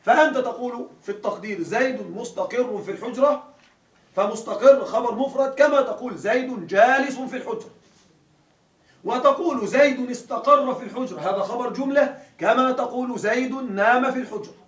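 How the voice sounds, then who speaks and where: A man's voice: very high-pitched, fairly quick, a bit bright. A man teaching a grammar class, explaining step by step and quizzing his students with questions. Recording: echoey classroom from a distance, faint hiss.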